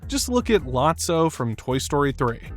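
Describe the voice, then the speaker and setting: A man's voice: on the high side, sing-song, unhurried, faint music behind. A man reading scripted video-essay narration in an emphatic, opinionated way.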